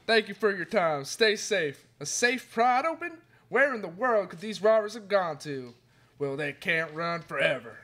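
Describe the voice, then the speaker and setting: A man's voice: sits high, animated, moderate pace, sharp tone, full character voice. A young man playing an earnest, folksy Old West sheriff in a live radio play. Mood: resolute. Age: young adult. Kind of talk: student live radio play, read from script. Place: classroom studio, indoors.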